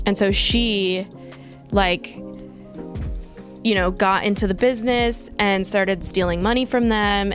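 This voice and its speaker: A young woman from Atlanta talks in a loose, rambling podcast-guest style and keeps filling her sentences with "like". Her pitch sits in the ordinary middle range. Music plays behind her voice. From Atlanta, United States. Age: 20s. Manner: chatty, rambling, heavy use of "like". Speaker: guest, knitting artist. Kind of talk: casual podcast chat.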